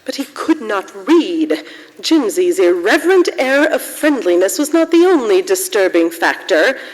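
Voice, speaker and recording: A woman narrating a radio drama story in a measured, literary manner. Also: mid-range voice, sing-song. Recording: echoey hall with long reverb.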